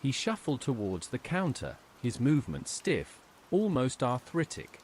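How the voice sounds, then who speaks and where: A man narrates a scripted horror tale in the first person. His pitch is ordinary for a man but moves with a lot of expression. Soft rain sounds behind the voice. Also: huge pitch swings, unhurried, a little hiss or hum.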